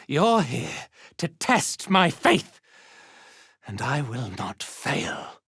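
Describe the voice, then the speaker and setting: A man playing a haughty, zealous dark elf cult commander in a video game, with an imperious, contemptuous and theatrical delivery. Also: fairly high pitch, very animated, extremely slow, slightly rough, dry recording, studio quality, heavily acted. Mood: haughty, devout. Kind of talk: scripted video game character acting.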